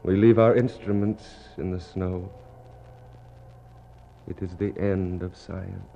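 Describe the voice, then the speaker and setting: Doomed expedition officer: starving, resolute, very slow and creaky, halting poetic radio-drama monologue. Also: male voice, low voice, steady tone, mellow, exaggerated voice. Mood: despairing. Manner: slow, incantatory, halting delivery. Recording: muffled, noisy 1960s radio recording.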